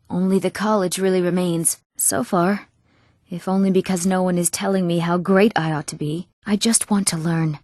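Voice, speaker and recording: A woman's voice: on the low side, dry recording, clean studio sound, lightly acted. A woman playing a studious, guarded young elf mage in a fantasy game, youthful and earnest. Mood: explaining, then frustrated. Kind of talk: scripted fantasy game dialogue.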